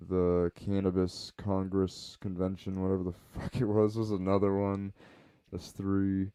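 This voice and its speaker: A man hosting his own podcast, chatting casually and rambling through memories in a deliberately quiet, laid-back way. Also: low voice, monotone, smooth. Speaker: podcast host. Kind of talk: casual reflective chat. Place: home, baby sleeping nearby.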